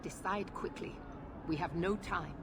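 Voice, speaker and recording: A woman's voice: lowish voice, unhurried, background noise, lightly acted. An actress playing a stern, authoritarian female knight commander who talks in curt, impatient orders. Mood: commanding.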